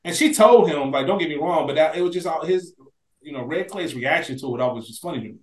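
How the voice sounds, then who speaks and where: A man's voice: fairly high pitch, lively intonation, fast. A man co-hosting a podcast, chatting casually with slang, swearing and strong opinions, in an echoey room. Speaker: podcast co-host. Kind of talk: casual chat.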